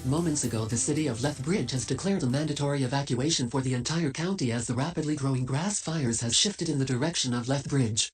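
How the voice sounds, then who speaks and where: Brief: mid-pitched male anchor, brisk broadcast read, soft music underneath. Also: breathy edge. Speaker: news anchor. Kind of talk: breaking news broadcast.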